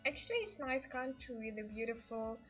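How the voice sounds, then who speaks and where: A woman's voice: fairly high pitch, animated, fairly quick, sharp tone. A woman with an Eastern European accent chatting casually to livestream viewers, giggly and hesitant in her English. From Ukraine. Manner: giggly, hesitant non-native English phrasing. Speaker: livestream host. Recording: dull lo-fi stream audio, music behind. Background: music.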